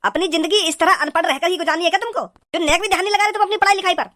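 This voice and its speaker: Cartoon male teacher, acted, high voice, stern and sarcastic, scolding in rural North Indian dialect. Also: racing, pushed and bright. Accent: rural North Indian Hindi dialect. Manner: scolding, lecturing. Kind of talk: comic cartoon dialogue.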